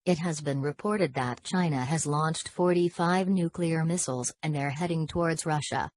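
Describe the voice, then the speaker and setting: A synthetic text-to-speech woman's voice reading a scripted emergency broadcast in a flat, formal, impersonal manner. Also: deep voice, normal speed. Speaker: emergency alert announcer.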